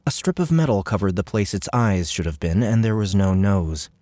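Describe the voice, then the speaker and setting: A man's voice: fairly low pitch, very animated, moderate pace, mellow, no room sound. A man narrating an audiobook in a scripted, dramatic storytelling style.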